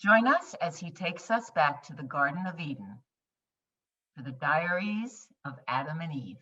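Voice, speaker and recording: A woman's voice: deep voice, sing-song, normal speed, a bit bright, breathy edge. A woman hosting an event, thanking the actors and introducing the next play in a gracious, announcing way over a video call. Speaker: event host. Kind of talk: scripted introduction. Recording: distant, muffled video call.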